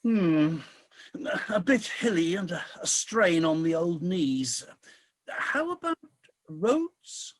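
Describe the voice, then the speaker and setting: A man's voice: high voice, sing-song, takes it slowly, full character voice. An actor playing a weary, disillusioned ageing king of the gods in a comic radio play, with a grumbling, theatrical delivery. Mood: scheming, brighter.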